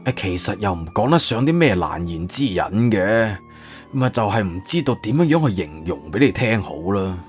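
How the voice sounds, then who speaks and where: 一個男人用粵語有聲小說嘅演法，扮演一個慌張、囉嗦、急於安撫人嘅博物館館長，講嘢猶豫多口頭禪。. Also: male voice, fairly low pitch, very animated, moderate pace, faint music behind. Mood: obliging. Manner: hesitant, filler-laden, long-winded. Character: flustered, wordy museum director, eager to placate. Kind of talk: Cantonese audiobook character acting.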